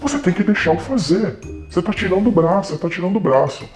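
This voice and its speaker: A man's voice: high voice, animated, fast, music in the background, pitched above the performer's own voice. A man voicing a brusque, suspicious female nurse with a curt, interrogating manner. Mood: curt, scolding.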